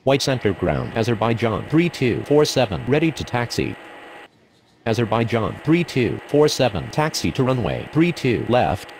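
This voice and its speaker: A man voicing a formal airline radio operator in a simulation game, using measured scripted phraseology. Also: mid-range voice, animated, gravelly, a little hiss or hum. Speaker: pilot and air traffic controller radio voice.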